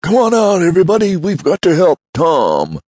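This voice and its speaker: An eager, odd older gentleman , as a male narrator puts him on, fussy and exclamatory, lifted a little above a deep voice. Dry storybook audio. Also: very animated, a little slow, vocal fry, dry recording, exaggerated voice. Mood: urgent.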